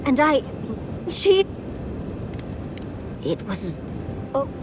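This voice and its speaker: Headstrong fantasy game heroine: highish, swooping voice, theatrical and self-willed, music underneath. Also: female voice, sing-song, drawn-out words, heavy background noise, full character voice. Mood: flustered. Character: headstrong young-spirited woman, affectionate and self-willed. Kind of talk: video game dialogue acting.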